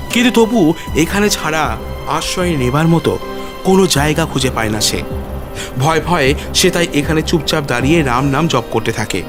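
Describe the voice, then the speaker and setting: High-voiced male story narrator over music. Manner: literary, suspenseful. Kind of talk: dramatic scripted story narration. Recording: music behind the voice.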